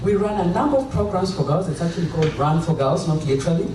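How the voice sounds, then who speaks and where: Woman panelist, expressive intonation, picked up from a distance. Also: fairly low pitch, fast talker. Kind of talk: panel talk. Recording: echoey hall, distant mic, noisy.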